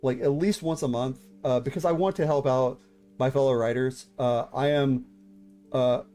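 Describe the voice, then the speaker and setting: A man's voice: mid-range voice, steady tone, normal speed, dark tone. A man in his thirties hosting a live stream, chatting casually with viewers, hesitant with many fillers and restarts. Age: 30s. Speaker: VTuber streamer hosting writing critiques.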